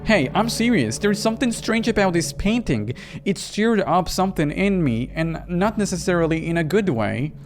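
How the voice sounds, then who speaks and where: A man's voice: high voice, lively intonation, moderate pace, few pauses. A man reading the part of a wary, plain-spoken young woman narrating a horror visual novel. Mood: uneasy. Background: music behind the voice.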